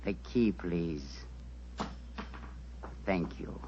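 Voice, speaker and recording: Slow, suave radio villain. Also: male voice, low-pitched, vocal fry, breathy edge, exaggerated voice. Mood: cool menace. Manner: slow, coolly polite. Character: suave male villain, coolly menacing and courteous. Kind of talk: 1940s radio acting. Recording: muffled old-time radio recording.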